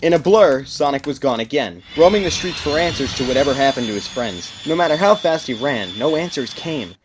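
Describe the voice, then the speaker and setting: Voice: medium pitch, animated, a little fast, noisy background. A narrator reading a scripted story in a dramatic storytelling style.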